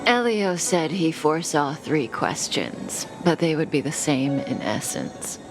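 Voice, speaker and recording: Cool enigmatic outlaw lady from a game, lowish and really unhurried, coyly knowing, music behind. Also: big pitch swings, heavily acted, rough recording. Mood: coolly teasing. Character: composed, enigmatic young woman outlaw, coolly teasing. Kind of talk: video game character dialogue. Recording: studio voice over game music.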